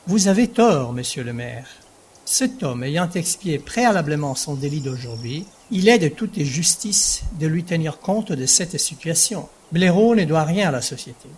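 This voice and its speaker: Court president played by a man, husky, slightly high voice, formal and solemnly reasonable. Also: animated, moderate pace. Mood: solemn, assured. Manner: formal, pronouncing. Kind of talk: French audio drama acting.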